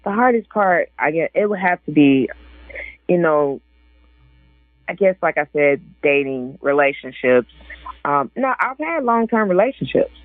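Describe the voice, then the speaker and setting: A woman's voice: sits low, expressive, a little slow, no room sound. A woman in her forties, an author and guest on a casual radio interview, chatty and laughing often as she quotes other people. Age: 40s. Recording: dry tinny phone line.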